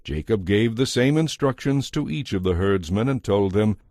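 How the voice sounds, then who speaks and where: A man narrating a dramatized audio Bible in a measured, scripted storytelling style. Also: fairly low pitch, animated, darkish tone, no room sound, clean studio sound.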